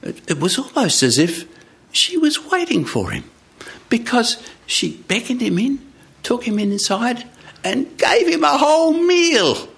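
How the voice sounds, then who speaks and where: Animated man telling a folk tale. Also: very high voice, a little slow, vocal fry, husky. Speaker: storyteller. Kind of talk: live oral storytelling. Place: radio studio with live audience.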